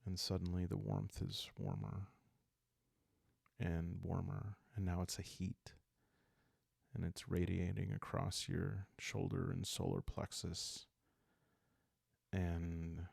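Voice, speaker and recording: Male ASMR storyteller: low, gravelly, calm and halting, for a solo roleplaying game. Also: no room sound, clean studio sound. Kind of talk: calm ASMR narration of a dark journaling game.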